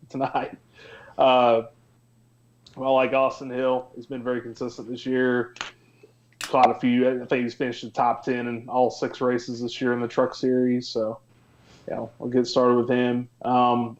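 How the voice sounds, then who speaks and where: Man with even delivery, slightly muffled sound. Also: medium pitch. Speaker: sports betting analyst. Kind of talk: casual betting picks talk.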